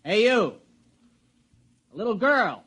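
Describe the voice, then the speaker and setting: An actor playing an irritable, educated university student with an American accent and a testy manner in an audio drama. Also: male voice, sits high, sing-song, slow pace, a bit bright, slightly rough, heavily acted. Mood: irritated. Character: exasperated, irritable male university graduate student.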